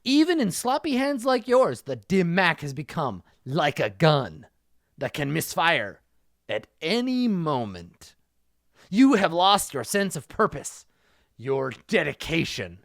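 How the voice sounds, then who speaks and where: A stern old kung fu master, as a man reads him aloud, lectures his fallen pupil with grave disappointment. The voice sits fairly high and moves up and down a lot, in a dry close sound. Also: very animated, slow, gravelly, a bit bright, no echo, heavily acted. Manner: grave, scolding.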